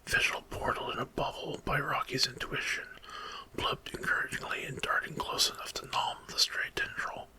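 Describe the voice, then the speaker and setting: A man narrating a dramatic story in a hushed, breathy whisper, in ASMR style. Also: rough recording. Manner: slow, dramatic, hushed reading.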